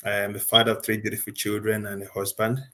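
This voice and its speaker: A man from Nigeria speaking as a panel guest, measured and polite. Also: lowish voice, completely flat delivery, a bit bright. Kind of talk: formal panel introduction.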